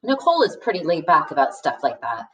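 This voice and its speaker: Lowish-voiced woman reading aloud, echoey. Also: very animated, quick pace, fairly bright tone. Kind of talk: expressive read-aloud of a novel. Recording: echoey room, distant from the mic.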